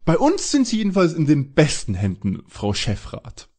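Guy doing a cocky teen sleuth in a parody audio drama, raspy and bouncy. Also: a little high, huge pitch swings, moderate pace, a little mellow, full character voice. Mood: eager. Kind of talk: German detective radio play parody.

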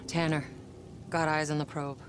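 A woman's voice: low voice, little pitch movement, moderate pace, background noise, natural voice. An actress playing a tough, foul-mouthed female scout in a video game, talking in terse, gritty reports. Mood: focused. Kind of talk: video game cutscene acting. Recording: music behind the voice.

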